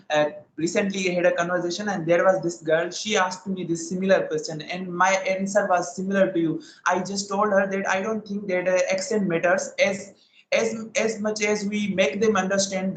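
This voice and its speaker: High-voiced young man, curious, echoey. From South Asia. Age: 20s. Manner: inquisitive, poses questions, quick bursts. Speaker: conversation partner. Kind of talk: casual conversation practice. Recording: echoey room recording.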